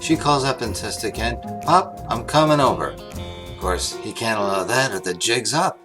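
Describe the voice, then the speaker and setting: A man's voice: fairly low pitch, animated, moderate pace, over music, natural voice. A man as a blunt, slangy police inspector. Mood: pleased, confident. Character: brisk, slangy, down-to-earth male police inspector. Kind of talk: old-time radio mystery acting.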